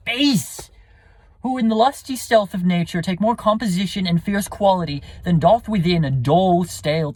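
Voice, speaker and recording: A man's voice: fairly high pitch, dry recording. A man playing a resentful, ambitious younger son, declaiming theatrically in a Shakespearean soliloquy. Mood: outraged. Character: resentful, ambitious bastard son, defiant and scheming.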